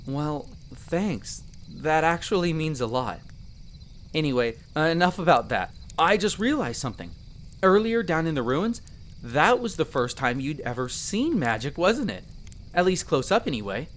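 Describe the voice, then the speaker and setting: A man's voice: a little high, very animated, moderate pace, a bit bright, a little hiss or hum. A man playing a friendly young elf explorer in a roleplay audio drama, talking conversationally and earnestly to the listener in a self-deprecating way. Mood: grateful, curious. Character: friendly young male elf explorer, modest half-outsider mage.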